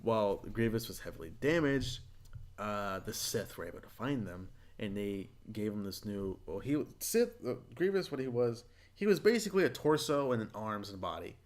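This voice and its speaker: A man's voice: fairly low pitch, moderate pace. Rambling, foul-mouthed male podcast host. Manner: rambling, profane, animated retelling. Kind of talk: casual podcast chat.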